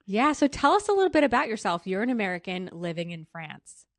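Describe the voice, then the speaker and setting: A woman's voice: medium pitch, big pitch swings, fast talker, gravelly. A woman co-hosting a podcast in an upbeat, welcoming manner. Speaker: podcast co-host. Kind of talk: podcast chat.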